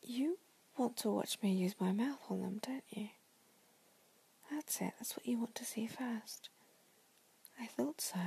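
A woman in an intimate erotic audio roleplay, speaking to the listener in a breathy, often whispered voice with a sultry, coaxing manner. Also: fairly high pitch, warm tone.

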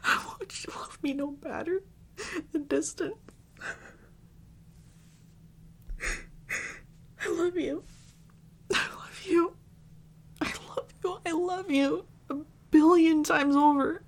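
A woman playing an affectionate, clingy girlfriend in an intimate roleplay audio, speaking tenderly and haltingly and often slipping into a whisper. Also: medium pitch, darkish tone. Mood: tearful, pleading. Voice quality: breathy, often dropping into a whisper. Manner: tender, slow, halting, soothing endearments. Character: affectionate young girlfriend, doting and clingy, tearful before parting. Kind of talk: intimate roleplay audio, soft ASMR-like acting.

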